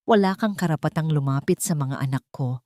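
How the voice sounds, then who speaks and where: A woman narrator voices a proud, hurt mother in a romantic drama story. She sounds guarded and firm, in a fairly low voice, recorded very dry. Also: fairly quick, warm tone, dry recording, lightly acted.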